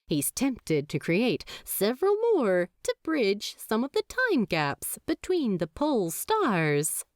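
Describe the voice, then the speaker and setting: A woman narrating a fanfiction story in an expressive, measured storybook reading. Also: lowish voice, no echo, clean studio sound.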